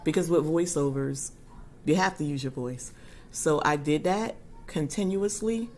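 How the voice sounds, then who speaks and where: Low, husky-voiced woman chatting. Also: measured pace, slight background noise. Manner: chatty and warm toward her viewers, frequent ums. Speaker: livestream host. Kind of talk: casual livestream chat.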